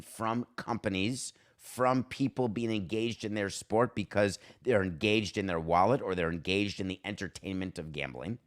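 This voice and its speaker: A man hosting a solo sports talk show, giving opinionated commentary in an emphatic, sardonic way with rhetorical questions. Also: fairly low pitch. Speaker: sports talk podcast host.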